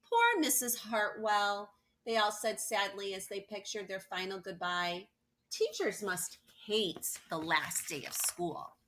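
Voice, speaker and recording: Bright-voiced woman teacher reading a picture book aloud. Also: medium pitch, a little slow. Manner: animated storytelling with emphatic stresses and playful questions to listeners. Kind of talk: expressive picture-book read-aloud with personal asides.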